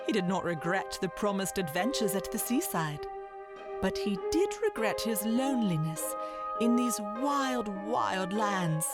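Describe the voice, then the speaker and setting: A woman giving scripted storybook narration as the narrator of an audio drama. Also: mid-range voice. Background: loud music.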